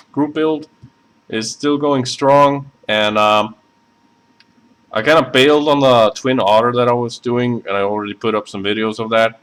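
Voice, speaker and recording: A man's voice: mid-range voice, a bit bright, smooth. A man with a Southern accent chatting casually and rambling, full of fillers, while building a model at his hobby desk. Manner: rambling, self-deprecating, many fillers. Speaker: scale model builder streaming. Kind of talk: casual live stream chat.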